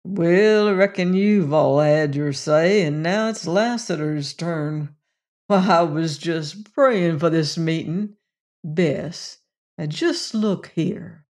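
A woman voicing a gentle, protective cowboy gunslinger with a rich voice and a folksy Western drawl in a storybook reading. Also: fairly low pitch, slow. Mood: gentle, assured.

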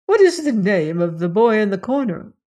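A woman voicing a condescending, severe elderly society lady in an audiobook story, speaking with deliberate disapproval. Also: mid-range voice, sing-song, warm tone, lightly acted.

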